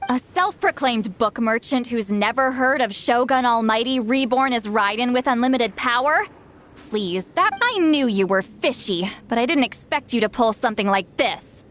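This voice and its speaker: An actress playing a sharp young woman investigator, dry and teasing in a game. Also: fairly high pitch, moderate pace, a little hiss or hum, close to an everyday voice.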